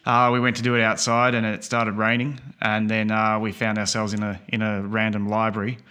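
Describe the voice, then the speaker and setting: A man's voice: on the low side, monotone, brisk, sharp tone, clear, resonant tone. A man hosting a podcast, introducing an episode and chatting casually with many fillers. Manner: easygoing, frequent fillers. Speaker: podcast host. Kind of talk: casual interview chat.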